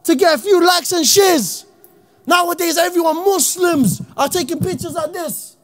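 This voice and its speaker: Fiery high-voiced man preaching. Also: normal speed. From Iraq. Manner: fiery, slang-heavy, often shouting. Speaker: Islamic speaker. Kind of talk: impassioned motivational talk to youth.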